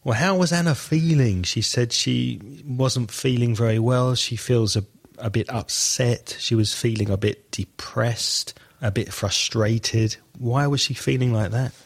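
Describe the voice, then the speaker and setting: A man co-hosting an English-learning podcast, explaining vocabulary clearly while blocked up with a cold. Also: medium pitch, measured pace. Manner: clear, explanatory, spells out words. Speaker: podcast co-host, English teacher. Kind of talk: teaching podcast chat.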